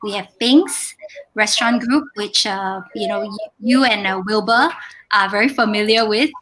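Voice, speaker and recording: Bright-voiced woman pausing with fillers. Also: mid-range voice, a little hiss or hum, low-quality recording. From Singapore. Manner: measured, many filler pauses. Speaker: restaurant group owner, panel guest. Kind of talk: panel discussion.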